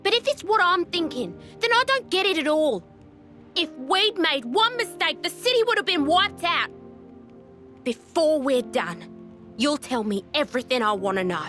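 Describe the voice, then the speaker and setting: Brash, bright-voiced young fighter. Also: female voice, sits high, sing-song, normal speed, faint music behind. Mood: stern, bewildered. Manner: cocky, slangy, blunt. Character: brash, foul-mouthed young woman fighter, loyal and hot-blooded. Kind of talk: video game cutscene acting.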